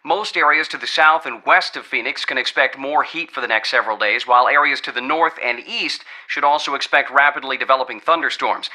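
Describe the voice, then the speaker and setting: American radio weatherman in a game, super bright punchy voice, crisp businesslike read. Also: medium pitch. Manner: crisp announcer delivery. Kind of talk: radio weather report, scripted.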